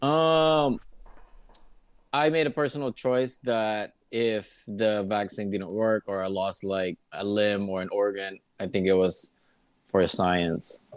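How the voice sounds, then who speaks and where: A man's voice: lowish voice, fairly even intonation, slow, clear, resonant tone, dry recording. A man from Kansas City, Kansas, chatting as a podcast guest in an easygoing, hesitant way. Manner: easygoing, hesitant, laughs often. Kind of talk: casual interview chat.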